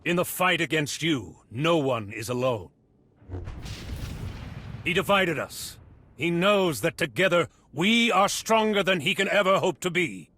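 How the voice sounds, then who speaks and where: An actor playing a resolute, defiant hero rallying allies in a video game, earnest and determined. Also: male voice, a little high, lively intonation, a little slow, sharp tone, a little hiss or hum. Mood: defiant resolve. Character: resolute heroic male warrior, defiant and rallying. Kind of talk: dramatic video game acting.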